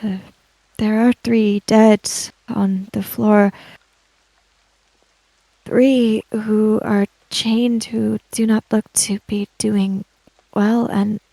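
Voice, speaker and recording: A woman playing an earnest, worried female samurai in a tabletop game, speaking in halting, formal phrases. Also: medium pitch, creaky, dark tone, dry recording, heavily acted, rough recording.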